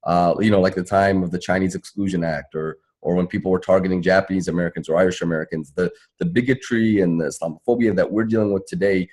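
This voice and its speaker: A man with a husky voice speaking emphatically as an activist panelist, explaining things off the cuff. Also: deep voice, fairly even intonation, quick pace, hardly any pauses, a little mellow. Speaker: panelist, civil rights attorney and activist. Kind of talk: spontaneous panel talk, advocacy.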